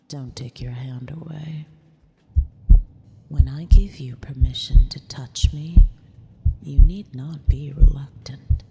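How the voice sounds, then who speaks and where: A woman's voice: low-pitched, creaky, dark tone, big-room sound, full character voice. A woman playing a vain, cruel but affectionate eldritch empress in an intimate, half-whispered ASMR roleplay, haughty and teasing.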